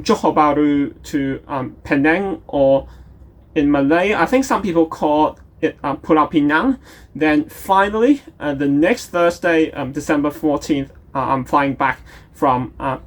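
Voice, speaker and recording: Young man, slightly high voice, hesitant casual vlog talk, lots of ums. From Hong Kong. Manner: hesitant, many ums and uhs, restarts. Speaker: travel vlogger.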